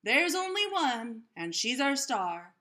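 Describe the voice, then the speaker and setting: An eager, bossy storybook schoolgirl, acted out by a woman reader. Her voice is high and swings widely as she exclaims with dramatic emphasis. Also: big pitch swings, slow pace, sharp tone, exaggerated voice.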